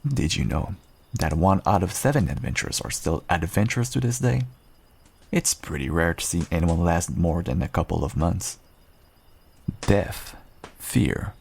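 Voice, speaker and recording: A man playing a guarded but kind half-wolf rogue in an intimate fantasy audio roleplay, unhurried and dryly understated. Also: a little mellow, heavily acted. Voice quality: low, gravelly, husky. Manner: unhurried, dry, understated, self-deprecating.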